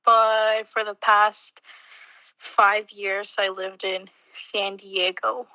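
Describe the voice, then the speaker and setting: A woman's voice: mid-range voice, little pitch movement, drawn-out words, sharp tone, no room sound, rough recording. A young woman from Mexico, a college student chatting casually in an interview, with lots of fillers and little laughs. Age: young adult. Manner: chatty, many fillers, laughs between words.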